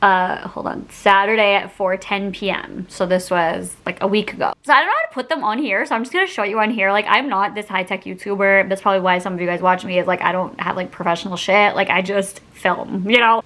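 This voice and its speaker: A woman vlogger chatting informally to camera, telling a personal story in an animated, slangy way. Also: mid-range voice, a little fast, hardly any pauses. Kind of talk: casual storytime chat to camera.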